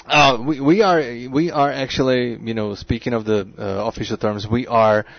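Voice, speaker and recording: A man from the Czech Republic with a Czech accent, chatting as a guest on a radio show, halting and full of fillers. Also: medium pitch, fairly even intonation, creaky, dry recording, highs cut off. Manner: halting, filler-heavy, chuckling. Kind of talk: casual radio chat.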